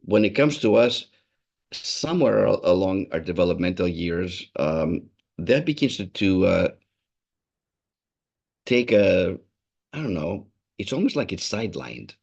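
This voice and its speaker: A man from Greece, whose first language is Greek, speaking as a happiness and wellness speaker on a video call, measured and storytelling. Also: sits low, very animated, creaky, a little mellow, husky. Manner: measured, storytelling, with many restarts. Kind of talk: reflective talk on a video call. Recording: muffled video call audio.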